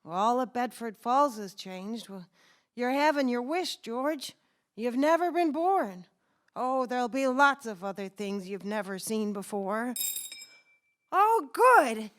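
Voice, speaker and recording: A woman acts out an ancient guardian angel in an old-time radio drama. The voice is high and gentle, with a polite, fussy, innocent manner. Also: expressive, takes it slowly. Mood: innocent, chatty. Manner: polite, fussy, chuckling, hesitant. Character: gentle, naive, cheerful elderly guardian angel Clarence.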